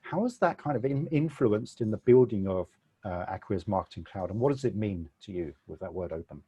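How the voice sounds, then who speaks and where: A man's voice: lowish voice, animated, brisk, mellow, husky. A man hosting a webinar interview, asking long, winding conversational questions with a few fillers.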